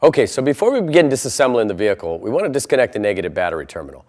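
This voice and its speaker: A man's voice: on the low side, big pitch swings, very fast, a little mellow, no echo, studio quality. An American man presenting a step-by-step installation tutorial in an instructional style.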